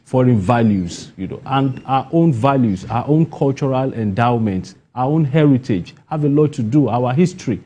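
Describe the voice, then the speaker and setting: A man's voice: mid-range voice, animated, moderate pace, few pauses, warm tone, slightly rough. A man with a Nigerian accent giving spontaneous interview answers, emphatic and repeating key phrases for stress. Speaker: interview guest, playwright.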